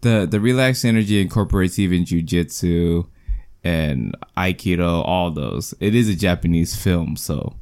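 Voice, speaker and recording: Male podcast co-host, lowish voice, quick animated film-review chatter. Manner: animated, quick bursts, joking asides. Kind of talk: casual film review chat.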